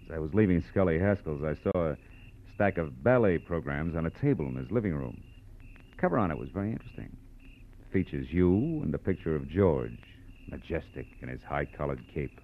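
A man's voice: extremely low pitch, animated, brisk, heavily acted. A man playing a wry, hard-boiled private detective with an American accent, telling his story in 1940s radio drama style. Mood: tender, matter-of-fact. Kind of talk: 1940s radio drama acting, first-person narration. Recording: muffled vintage radio broadcast with music.

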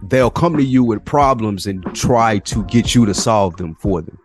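A man co-hosting a podcast, talking candidly and with profanity in a relaxed chat, often acting out what other people say. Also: fairly low pitch, normal speed, soft background music. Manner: candid, animated, profane, acts out quoted voices. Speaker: podcast co-host. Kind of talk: casual conversation.

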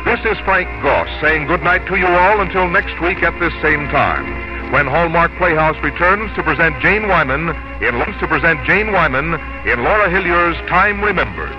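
Man, 1950s radio announcer, polished scripted delivery, measured, dull vintage broadcast sound, music behind. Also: fairly high pitch, lively intonation.

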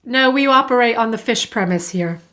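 Slightly high-voiced woman with dry humor. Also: a little fast, fairly bright tone. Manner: dry, teasing humor with laughs. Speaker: podcast co-host. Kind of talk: casual chat.

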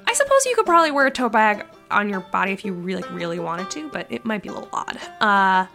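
A woman's voice: medium pitch, big pitch swings, quick pace, sharp tone. A woman giving a casual podcast merch promo in a chatty, wry manner. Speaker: podcast show member. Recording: music behind.

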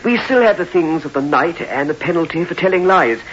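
Genteel, hesitant older vicar, bright-voiced. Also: male voice, on the high side, animated, a little fast. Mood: genteel, musing. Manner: hesitant, faltering, lofty wording. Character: weary, pious, genteel older male vicar. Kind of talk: 1960s radio drama acting. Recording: dull, hissy old radio recording.

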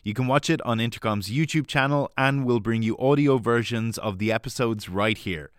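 A man with a medium-pitched voice reads a scripted podcast announcement as the host. The sound is very dry, with no room echo. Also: moderate pace, clear, resonant tone.

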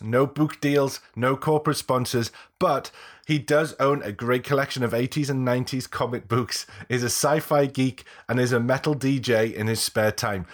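A man hosts a podcast with a slightly croaky voice, as if he has a cold. He talks in a loose, rambling way, circling back and restarting his thoughts. His pitch sits in the middle range. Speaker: podcast host. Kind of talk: casual podcast talk.

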